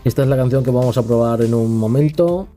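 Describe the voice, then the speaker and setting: A man with a Castilian Spanish accent talks through a tech review in an informal, off-the-cuff way. His voice is mid-pitched and mellow, with music playing faintly behind him. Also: brisk. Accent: Castilian Spanish from Spain. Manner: informal, unscripted, tags phrases with questioning checks. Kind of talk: casual spoken app review.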